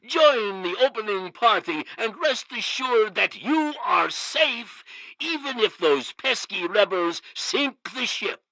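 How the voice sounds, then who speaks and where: An actor playing a brash, showy pitchman in a satirical game radio advert, declamatory and slyly persuasive. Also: pushed and bright, no room sound, heavily acted, low-quality recording. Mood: boastful. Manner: declamatory, salesy.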